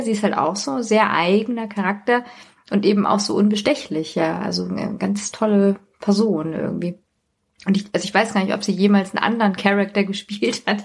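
A woman's voice: mid-range voice, gravelly, husky. A woman chatting casually as a podcast guest, recounting things in a loose, tentative, rambling way.

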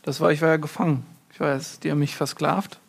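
A man playing a muddled, rambling fortune cookie writer in a deadpan comedy interview. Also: on the high side, fast talker, vocal fry, slightly rough. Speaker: mock interview guest. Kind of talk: improvised comedy sketch.

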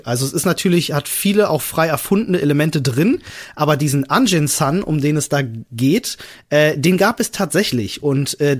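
A man co-hosting a podcast in casual chat, explaining things with enthusiasm. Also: fairly high pitch. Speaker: podcast co-host.

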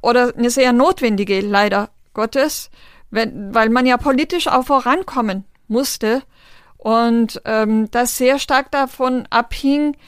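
Woman, interview guest, earnest and measured, smooth clear voice, dry close sound. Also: fairly high pitch, no echo. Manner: earnest, measured, pauses with fillers. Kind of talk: thoughtful interview conversation.

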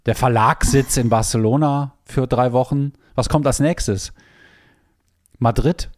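A man hosting a casual podcast chat, hesitant with many fillers and gently teasing. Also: medium pitch, measured pace. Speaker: podcast host.

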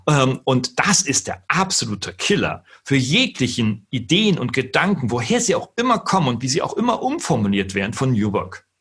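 A middle-aged man with a very husky, breathy voice. He speaks in an earnest, emphatic keynote manner and slows down on the words he wants to stress. His pitch sits right in the ordinary middle for a man. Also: fairly bright tone. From northern Germany. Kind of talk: spoken talk on leadership.

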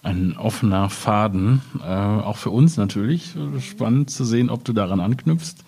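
A man in a reflective literary discussion, speaking hesitantly and deliberately as he searches for his words. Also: lowish voice, darkish tone, breathy edge. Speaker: author in panel discussion.

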